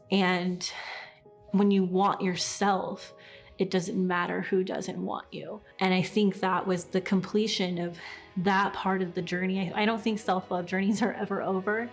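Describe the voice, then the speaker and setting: A woman in her thirties talks in an earnest, measured way, her pitch held fairly level. Soft music plays faintly underneath. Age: 30s. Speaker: interviewee. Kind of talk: reflective interview.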